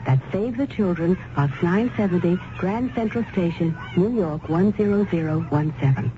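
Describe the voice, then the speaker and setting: A woman reading a scripted radio charity appeal in an earnest, measured way. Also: on the low side. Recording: dull, clearly noisy old radio recording.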